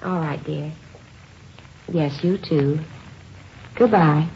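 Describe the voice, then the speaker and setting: Low-voiced worried mother, old radio. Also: very slow, dull audio, full character voice. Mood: anxious, controlled. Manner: hesitant, polite, restrained anxiety. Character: worried early-forties mother, outwardly calm, polite housewife. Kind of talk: 1950s radio drama acting. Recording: hissy, band-limited old radio recording.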